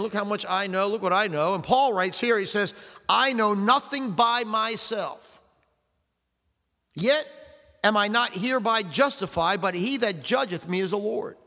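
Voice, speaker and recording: An older man preaches with fervor in a high, lifted voice, leaning hard on each verse and slowing to stress the scripture. His words ring out with a long echo through a large hall. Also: bright, forceful tone. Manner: fervent preaching, slows and stresses scripture readings, asks the congregation questions. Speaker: pastor. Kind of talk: sermon. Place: church sanctuary.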